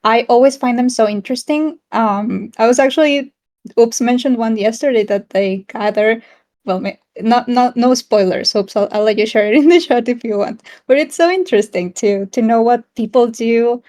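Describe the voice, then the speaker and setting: A woman's voice: on the high side, moderate pace, darkish tone. A woman from South America co-hosting a casual online community chat in a friendly, giggly, encouraging way. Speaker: town hall co-host.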